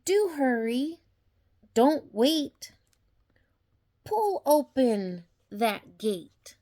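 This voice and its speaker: An older woman reading a children's picture book aloud in an expressive, deliberate manner, with dramatic questions and sound words. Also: sits high. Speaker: grandmother reading a storybook aloud.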